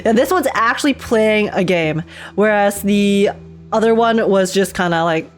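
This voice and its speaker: Chatty woman streamer, medium pitch. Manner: chatty, animated musing, quick to laugh. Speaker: streamer reacting to a game. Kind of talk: casual live reaction commentary. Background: faint game music.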